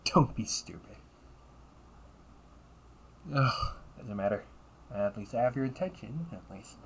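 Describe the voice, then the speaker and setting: A man voicing a cunning, condescending villain and older brother in an audio roleplay, taunting and theatrical, often dropping toward breathy near-whispers. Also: mid-range voice, lively intonation, measured pace, a bit bright, a little hiss or hum. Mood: smug, exasperated. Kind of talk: audio roleplay monologue addressed to the listener.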